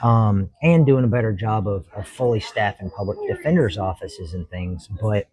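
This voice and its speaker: A man's voice: fairly low pitch, lively intonation, normal speed, darkish tone. A man, a judge answering interview questions in an earnest, reflective way and explaining at length. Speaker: judge, talk show guest.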